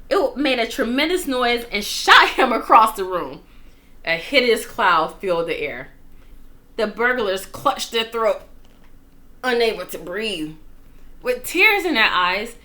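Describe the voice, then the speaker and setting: A woman reading a children's story aloud in an expressive, playful, dramatic manner, with casual asides. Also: mid-range voice. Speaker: storytime reader.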